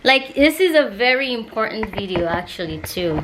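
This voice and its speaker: A woman's voice: fairly high pitch, lively intonation, moderate pace, a bit bright. A woman in her twenties chatting casually on her vlog, rambling and giggly, with lots of "like". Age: 20s. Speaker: vlogger. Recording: roomy with background noise.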